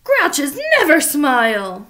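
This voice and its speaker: Woman doing a loud grumbling grouch monster. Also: sits high, sing-song, slow pace, full character voice. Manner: gruff, grumbling, dismissive. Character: grouchy male monster living in a trash can. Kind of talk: storybook read-aloud character voice.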